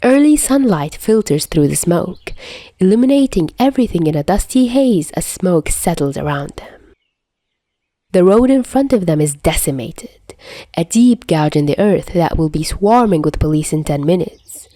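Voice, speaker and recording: Lively woman narrator, very dry sound. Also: fairly low pitch, normal speed, no room sound. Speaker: amateur fan fiction narrator. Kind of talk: scripted story narration.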